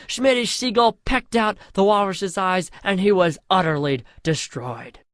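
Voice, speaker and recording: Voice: medium pitch, unhurried, a bit bright, slight background noise. A storyteller reading a scripted comic fantasy parody in a mock-epic way, drawing out the chapter headings.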